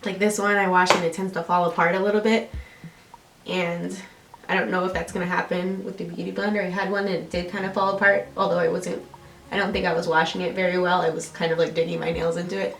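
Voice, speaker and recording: A woman's voice: fairly low pitch, level tone, fast talker, gravelly, a bit bright, a little hiss or hum. A young American woman with a congested, nasal voice, chatting casually and self-deprecatingly through a makeup tutorial as a beauty vlogger. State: congested from allergies. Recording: roomy echo, distant mic, faint music. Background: music.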